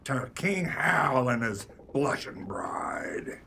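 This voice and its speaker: A man's voice: medium pitch, very animated, very slow, pushed and bright, slight background noise, exaggerated voice. A man playing a rowdy, sentimental pirate crony in a theatrical radio comedy.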